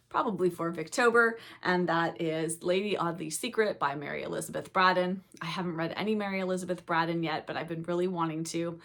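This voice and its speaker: A middle-aged woman chatting casually about her new books, her voice a little raspy from a cold. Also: lowish voice. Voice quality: soft-spoken, reads blurbs aloud. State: recovering from a cold, slightly raspy. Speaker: book vlogger. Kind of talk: casual book haul chat.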